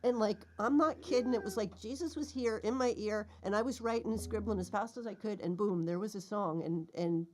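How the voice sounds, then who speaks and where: A woman, a guest singer-songwriter, chatting casually and telling an animated story with laughs. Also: medium pitch, a little fast, few pauses.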